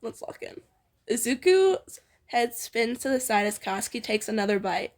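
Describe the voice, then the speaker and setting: A woman's voice: fairly high pitch, very animated, normal speed, darkish tone. A young woman reading fan fiction aloud informally with a friend, in a playful, giggly manner. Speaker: amateur fan fiction reader.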